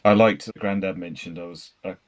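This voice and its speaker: A man with a low, deep voice talks casually in a film discussion. He lays out his points step by step, as one panelist among friends. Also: steady tone, moderate pace. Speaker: podcast panelist.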